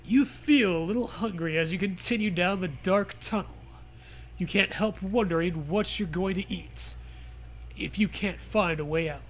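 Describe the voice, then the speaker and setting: A man's voice: sits high, normal speed. A man co-hosting a podcast, mixing gamebook reading with casual, dry joking asides. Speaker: podcast co-host. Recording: faint background noise.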